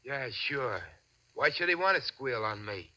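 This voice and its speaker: A sharp, cocky racketeer. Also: male voice, medium pitch, lively intonation, unhurried. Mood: defiant, scheming. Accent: American. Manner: tough gangster slang, boastful. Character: cocky, greedy small-time racketeer man with a swaggering streak. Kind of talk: 1940s American radio crime drama acting. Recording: dull, band-limited old radio recording.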